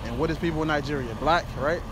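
A man's voice: on the high side, quick pace, background noise. A young man hosting a prank video, talking with brash, boastful swagger outdoors and then inside a parked car. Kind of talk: casual street prank banter.

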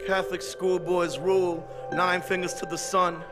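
A man's voice: sits high, little pitch movement. A man in his thirties rapping a dense, defiant verse on a recorded track. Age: 30s. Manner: dense rapid-fire rhymes, defiant. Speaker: rapper. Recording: vocal over loud music.

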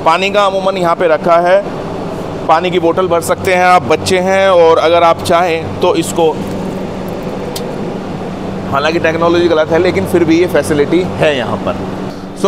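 Middle-aged male travel vlogger, higher-set voice, conversational and demonstrative, clearly noisy transit backdrop. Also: moderate pace. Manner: conversational, demonstrative, addresses viewers directly. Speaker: vlog host. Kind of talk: casual explanatory travel vlog. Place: on a moving train and around stations. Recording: clearly noisy train and station ambience. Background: train and vehicle noise.

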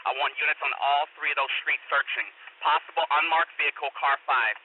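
A man's voice: on the high side, fairly even intonation, fairly quick. An American man working as a police radio dispatcher, urgently giving repeated, commanding instructions over a two-way radio. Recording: noisy phone-like police scanner radio.

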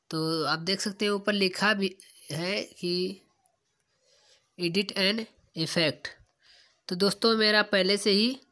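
Casting: young man, tech tutorial host, casual and instructional, medium-to-high male voice. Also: pushed and bright. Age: young adult.

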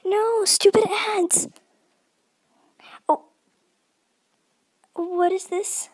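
A youthful gamer with a voice-changer effect, giving casual, dramatic and playfully self-deprecating gameplay commentary. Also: a little mellow. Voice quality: high-pitched, youthful voice, altered with voice effects. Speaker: gaming video creator.

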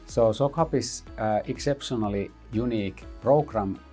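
A middle-aged man explaining a chip design program in an office, pausing often with fillers. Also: mid-range voice, warm tone, faint music behind. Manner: measured, many "uh" pauses. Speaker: university computing head. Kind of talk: interview explanation. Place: office lab with whiteboard, indoors.

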